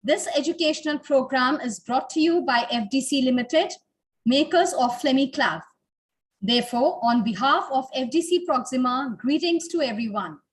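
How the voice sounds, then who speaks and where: Female webinar host, notably high voice, formal and ceremonious scripted delivery. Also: animated, normal speed.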